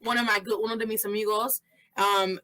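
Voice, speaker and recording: A woman's voice: mid-range voice, steady tone, fairly quick, bright, forceful tone, low-quality recording. A woman in her thirties hosting a podcast interview, talking animatedly and mixing Spanish phrases into her English. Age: 30s. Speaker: podcast host. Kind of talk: casual interview chat.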